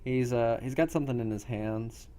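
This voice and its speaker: A man narrating an improvised role-play game as game master, in a halting way with frequent throat-clearing. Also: mid-range voice, mellow.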